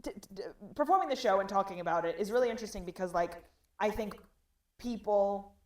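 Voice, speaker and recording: A young woman from Russia, a comedian guest on a casual podcast, rambling with lots of "like" and acting out quotes. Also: mid-range voice.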